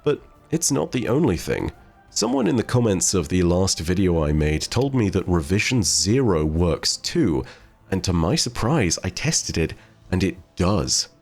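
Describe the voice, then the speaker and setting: A man's voice: low voice, sing-song, measured pace, creaky, soft background music. A man hosting a gaming lore video, talking to viewers in a conversational commentary style. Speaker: host of a game lore video channel.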